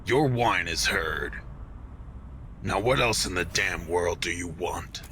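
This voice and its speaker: An actor playing a gruff, confrontational, hot-tempered SWAT captain in a video game cutscene. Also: male voice, sits low, slow pace, pushed and bright, slight background noise, full character voice. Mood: threatening. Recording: music behind the voice.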